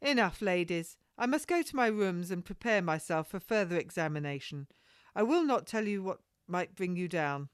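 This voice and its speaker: A woman's voice: on the low side, animated, moderate pace, smooth, no room sound, clean studio sound, lightly acted. A woman voicing a cocky, evasive male captain from a period storybook, with flowery formal speech. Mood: firm, evasive.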